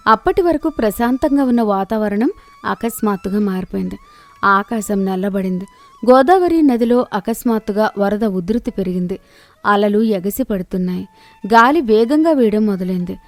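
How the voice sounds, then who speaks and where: A woman narrating a children's moral story in a steady, clear storytelling manner. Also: mid-range voice, normal speed.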